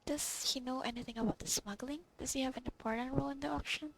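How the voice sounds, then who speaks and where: Creaky-voiced woman gamer. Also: high voice, steady tone, fast talker, noisy background. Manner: musing to herself. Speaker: gamer recording gameplay. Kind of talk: casual gameplay commentary, reading choices aloud. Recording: game music behind voice.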